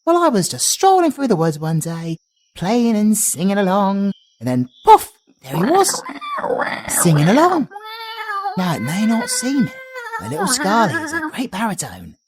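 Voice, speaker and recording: A man's voice: sits high, wildly swooping pitch, normal speed, full character voice. A man playing a chatty British travelling bard. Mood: doting. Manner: chatty, doting, slangy. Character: cheerful chatty male travelling bard, young adult. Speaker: audio roleplay performer. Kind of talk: ASMR audio roleplay.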